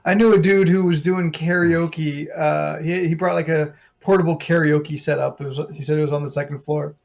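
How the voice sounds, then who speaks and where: A man's voice: on the high side, fairly even intonation, moderate pace, vocal fry, darkish tone. A man co-hosting a casual podcast, tossing in short, dry remarks. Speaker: podcast co-host. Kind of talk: casual chat.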